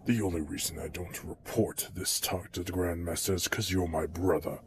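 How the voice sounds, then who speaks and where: A man's voice: low voice, fairly even intonation, moderate pace, noisy background. A man playing a cold, proud and merciless ninja assassin in an audio drama, stern and menacing. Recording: echoey room, music behind.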